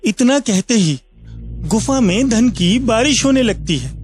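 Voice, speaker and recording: A man's voice: extremely high pitch, expressive, unhurried, darkish tone, breathy edge, dry recording. A man narrating a scripted moral story for children as a storyteller. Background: music.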